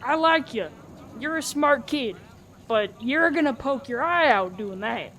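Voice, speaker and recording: Woman playing a high-voiced folksy diner customer. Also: animated, unhurried, fairly bright tone, slight background noise. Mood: friendly. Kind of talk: farcical radio play acting.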